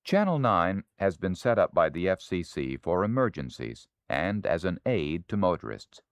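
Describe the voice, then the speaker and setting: An American man reading scripted instructional narration in a formal, measured, authoritative manner. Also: deep voice, very animated, dry recording. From United States. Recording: dry 1970s spoken-word LP.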